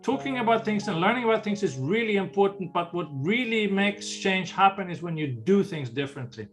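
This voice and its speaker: A man's voice: high-pitched, expressive, a little fast, faint music behind. An older man with a Swedish accent, earnestly explaining ocean conservation in an interview and often restarting phrases. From Sweden. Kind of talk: interview answers.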